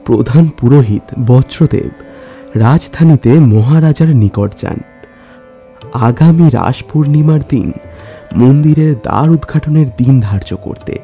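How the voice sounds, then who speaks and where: A man narrates a scripted literary story in a solemn, measured, dramatic way, pausing between phrases. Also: a little high, dark tone. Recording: music under the voice. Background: musical instrument music.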